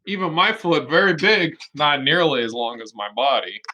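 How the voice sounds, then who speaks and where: A man's voice: fairly high pitch, normal speed, bright, forceful tone. A man teaching young children through a read-aloud, explaining simply and expressively with questions and emphasis on key words. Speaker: kindergarten teacher.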